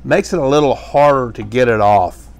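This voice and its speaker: A man's voice: medium pitch, normal speed, smooth. A middle-aged man teaching a hands-on equipment demonstration in a small workshop, talking casually with fillers and restarts as he works. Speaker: pressure washing instructor. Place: small workshop with tool shelves, indoors.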